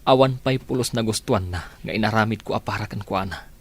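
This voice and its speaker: A lovesick young Filipino man in a radio love story. His voice is fairly low and husky, that of a patient suitor wounded by rejection. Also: fast talker. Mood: downhearted. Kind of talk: radio drama acting.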